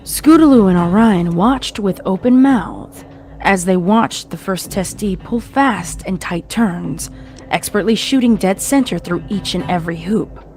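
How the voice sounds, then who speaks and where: A woman's voice: medium pitch, animated, a little slow, darkish tone. A woman reading a scripted story as its narrator, in a dramatic storytelling style. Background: faint music.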